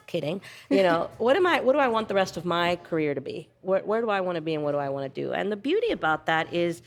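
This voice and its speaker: A woman's voice: on the low side, big pitch swings, fast talker. A woman in her fifties speaking as a panel guest, reflective and emphatic, often checking in with "right?". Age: 50s. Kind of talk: panel discussion, conversational.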